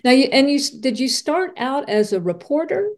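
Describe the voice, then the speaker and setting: A woman's voice: high voice, big pitch swings, moderate pace. A woman hosting a conversational podcast interview, asking thoughtful, meandering questions.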